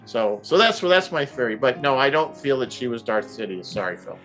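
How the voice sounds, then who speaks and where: A man talking casually as a panelist, giving his opinions in a halting, think-aloud way. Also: medium pitch, very animated, fairly bright tone, soft background music, rough recording. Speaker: panelist in a TV show discussion.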